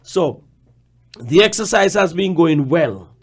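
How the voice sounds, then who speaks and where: A man with a Caribbean accent, a manager speaking on a radio programme, explaining deliberately and repeating words for emphasis. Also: on the high side, lively intonation. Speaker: general manager and radio guest.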